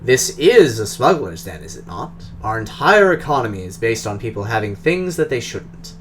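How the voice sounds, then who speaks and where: Man doing Russian-accented drone engineer, formal and guarded, lively rising and falling pitch, soft music behind. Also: close to an everyday voice. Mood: measured. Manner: formal, measured, precise.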